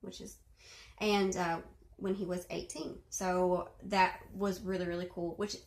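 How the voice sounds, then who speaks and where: A woman's voice: lowish voice, flat delivery, measured pace. Chatty young woman in a roomy room. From Arkansas. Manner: chatty, many fillers, clears throat. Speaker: video host responding to a reading. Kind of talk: casual chat. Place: bedroom at home. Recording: roomy echo.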